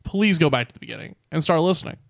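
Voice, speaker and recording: A man's voice: fairly high pitch, animated, very fast, gravelly, no echo, clean studio sound. A young man hosting a tabletop game podcast as Dungeon Master, talking loosely and joking with his friends. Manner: loose, self-correcting, joking. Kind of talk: casual podcast chat. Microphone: studio mic.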